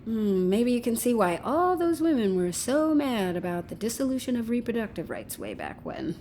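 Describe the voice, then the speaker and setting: A woman's voice: fairly high pitch, big pitch swings, normal speed, mellow, slight background noise. A woman acting in a naturalistic audio drama as a breezy, unapologetic, sardonic woman who teases flippantly. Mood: flippant, amused. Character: breezy, unapologetic woman with an experimental safety chip.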